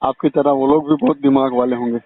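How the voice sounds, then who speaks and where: A man's voice: medium pitch, steady tone, fairly quick. A man chatting casually on a phone call in an agreeable manner, with short responses. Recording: muffled narrowband phone line.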